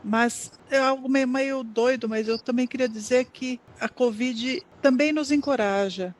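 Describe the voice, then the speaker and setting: A simultaneous interpreter renders a lecture live, halting often and restarting words to keep up. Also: high-pitched. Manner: halting, frequent restarts and repeated words while keeping up with the speaker. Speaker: simultaneous interpreter at an education conference talk.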